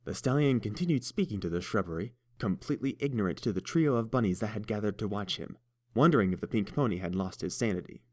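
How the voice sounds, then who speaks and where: A man narrating a fan fiction audiobook in a steady, measured storytelling voice. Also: lowish voice.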